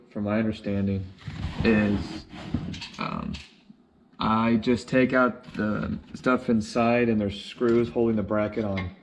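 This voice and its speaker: A man in his twenties talking casually through a hands-on DIY job, often halting and searching for words. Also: medium pitch. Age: 20s. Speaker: DIY vlogger. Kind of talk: casual how-to narration while working. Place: indoors: vehicle cab in a shed, later a basement room. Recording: clearly noisy camera audio with clicks.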